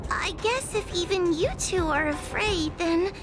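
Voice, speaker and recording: A woman's voice: extremely high pitch, unhurried. A woman voicing a timid, easily frightened young girl in a video game, anxious and hesitant in manner. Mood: flustered, self-doubting. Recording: game music behind the voice.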